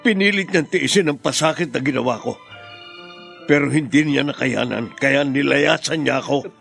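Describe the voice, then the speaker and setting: Gravelly, stern radio-drama father. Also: mid-range voice, moderate pace, fairly bright tone, faint music behind. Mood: confessing, insistent. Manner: emphatic, dramatic delivery. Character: stern, guilt-ridden middle-aged father and husband. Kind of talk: radio melodrama acting.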